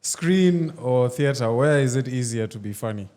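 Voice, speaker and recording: A man's voice: mid-range voice, animated, moderate pace, warm tone. A man who hosts a podcast interview, asking probing questions and slipping in Swahili. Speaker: podcast host. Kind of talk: casual interview.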